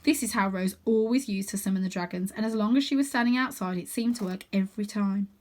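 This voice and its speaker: A woman narrating a children's storybook in an expressive read-aloud style. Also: mid-range voice, fast. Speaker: storybook reader.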